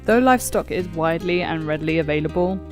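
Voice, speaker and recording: A woman reading a scripted, measured voice-over narration. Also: deep voice, expressive. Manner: measured, explanatory. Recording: guitar music underneath.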